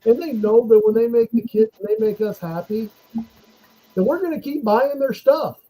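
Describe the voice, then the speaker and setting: High-voiced man telling stories casually. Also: moderate pace, low-quality recording. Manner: animated anecdotes, laughs while telling stories. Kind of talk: casual chat, storytelling.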